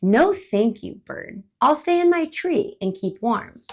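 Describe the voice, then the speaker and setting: A woman doing an excitable little squirrel. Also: takes it slowly, heavily acted. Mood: curious. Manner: exclamatory, high and loud, childlike questions. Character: excitable little male squirrel, curious and fussy. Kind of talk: storybook read-aloud voice.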